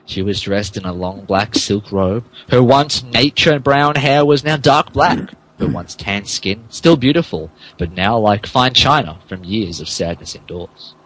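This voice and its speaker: Male podcast narrator, mid-pitched and animated, mock-dramatic comic read with stumbles. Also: a little hiss or hum, low-quality recording. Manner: mock-serious, stumbles over words and breaks up. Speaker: podcast host reading as narrator. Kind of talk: comic dramatic reading of fan fiction.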